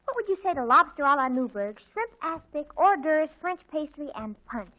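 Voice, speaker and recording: A woman playing an excitable, bossy teenage girl in a 1940s radio comedy, dramatic and wheedling. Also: high voice, moderate pace. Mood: excited, bossy. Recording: muffled 1940s radio recording.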